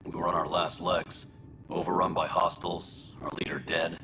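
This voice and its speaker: An actor playing a weary, resigned colonist imprisoned for murder, speaking in a halting, subdued way in a video game. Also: male voice, sits low, flat delivery, heavy background noise, lightly acted. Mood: resigned, regretful.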